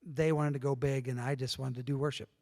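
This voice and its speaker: A man's voice: medium pitch, brisk. A middle-aged man telling stories as an interview guest in a casual way, acting out bits of dialogue as he goes. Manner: lively storyteller who acts out dialogue. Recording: long room reverb.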